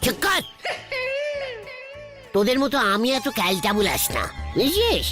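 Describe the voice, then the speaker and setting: Cartoon uncle: pompous, stammering coward, swooping high voice, music underneath. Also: huge pitch swings, unhurried. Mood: indignant. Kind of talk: Bengali comedy cartoon voice acting.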